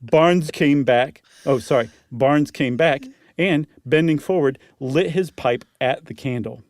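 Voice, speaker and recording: A man's voice: on the high side, a little slow, no room sound, studio quality. A man, a podcast co-host, narrating a story dramatically with casual asides.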